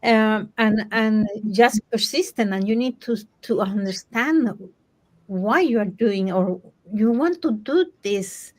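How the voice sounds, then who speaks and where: Slow-paced woman with Panamanian Spanish accent. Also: mid-range voice, darkish tone. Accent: Spanish-accented English, Panamanian. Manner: halting, self-correcting second-language phrasing. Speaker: coach. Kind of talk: conversational personal storytelling in a group discussion.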